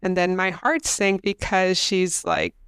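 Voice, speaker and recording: A young woman co-host chats loosely, with fillers and quick restarts, her nose congested. Her voice comes through very clear, and the recording is dry with no room sound. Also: on the low side, sing-song, a bit bright, no echo. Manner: chatty, many fillers and self-corrections. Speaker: podcast co-host. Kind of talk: casual chat.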